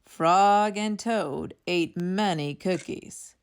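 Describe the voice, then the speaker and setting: A woman storyteller reading a children's book aloud in a deliberate, expressive narrating style. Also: on the low side, clean tone.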